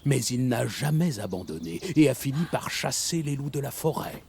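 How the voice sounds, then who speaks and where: Creaky old storytelling animal elder. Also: male voice, mid-range voice, expressive, normal speed, a little mellow, slight background noise. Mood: dramatic storytelling. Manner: dramatic storytelling. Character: kindly old male animal elder telling legends. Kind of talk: animated children's cartoon acting. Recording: music behind the voice.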